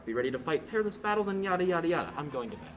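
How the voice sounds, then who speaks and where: A man's voice: on the high side, lively intonation, very fast, natural voice. A teenage student actor playing a scornful, bossy young nobleman in a radio play, sneering and dismissive. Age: teens. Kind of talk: amateur radio play acting. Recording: noisy amateur home recording.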